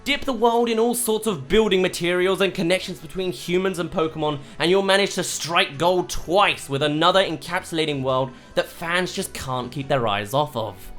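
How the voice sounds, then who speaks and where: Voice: medium pitch, normal speed, hardly any pauses, fairly bright tone. A reviewer narrating a scripted video essay, stressing key words emphatically and drawing them out. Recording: music behind the voice. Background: background music.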